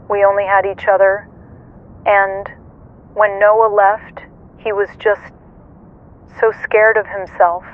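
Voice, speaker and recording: A woman playing a grieving, guilt-ridden single mother giving halting, sorrowful testimony in a radio horror drama. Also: medium pitch, phone-quality sound, natural voice.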